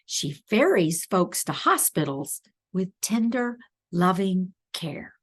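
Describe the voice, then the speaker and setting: A woman reads a picture book aloud for small children at an unhurried pace. Her pitch swings up and down in a lively way as she leans on key words. She adds playful asides to the child as she goes. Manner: animated, deliberate emphasis with playful asides to the child. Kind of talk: expressive read-aloud for young children.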